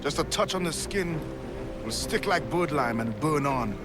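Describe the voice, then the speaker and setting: An actor playing a steady pirate first mate in a video game, speaking in a measured, eloquent, dry way. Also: male voice, on the high side, over loud music, natural voice. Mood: grave, reflective. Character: steady, thoughtful male pirate first mate, former plantation worker. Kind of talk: video game cinematic acting.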